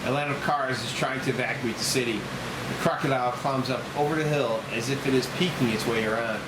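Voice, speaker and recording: A man's voice: mid-range voice, steady tone, a little fast, very noisy. A man reading screenplay stage directions aloud as narrator at a table read. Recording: roomy echo with loud music.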